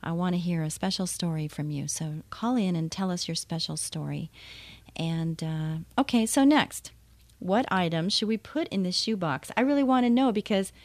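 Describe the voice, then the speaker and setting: A woman's voice: on the low side, normal speed, darkish tone, no room sound. A woman hosting a call-in radio show, chatty and enthusiastic, laughing easily with her guest. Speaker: radio host.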